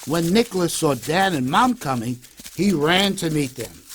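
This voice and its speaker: A man narrating a scripted children's story for English learners in a deliberate, expressive way. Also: on the high side, slightly rough.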